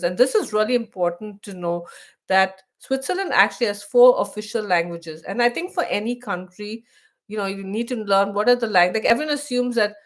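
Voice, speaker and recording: A woman's voice: mid-range voice, fairly bright tone. Chatty Indian woman hosting a live stream. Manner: chatty, many restarts, reads text aloud. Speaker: host of a live stream for expats. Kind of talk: casual live stream chat.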